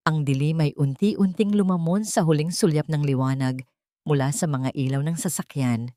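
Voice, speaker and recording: Woman storyteller, lowish voice, dead dry sound, serious steady drama reading. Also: moderate pace, no echo. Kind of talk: scripted dramatic story narration.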